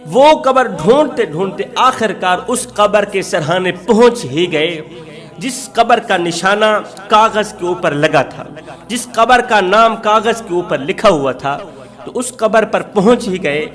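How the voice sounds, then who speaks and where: A male preacher delivers an emotional moral story in a very high-pitched voice. He tells it dramatically and repeats his phrases to drive them home. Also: lively intonation, measured pace, a bit bright. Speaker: preacher telling a moral tale. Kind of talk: emotional Urdu sermon storytelling.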